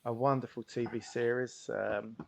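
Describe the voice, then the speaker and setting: A man at the close of a live tabletop game stream, chuckling between lines. His voice sits at an ordinary middle pitch, and he trades quick jokes with his friends before thanking everyone for coming. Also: little pitch movement, gravelly. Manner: laughs often, warm sign-off thanks. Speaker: co-host and player. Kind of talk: casual end-of-stream chat.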